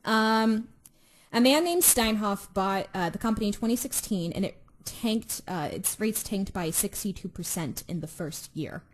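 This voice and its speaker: A woman's voice: mid-range voice, animated, moderate pace. A woman chatting casually as a podcast co-host, in an informal, slangy way with some swearing. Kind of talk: casual chat.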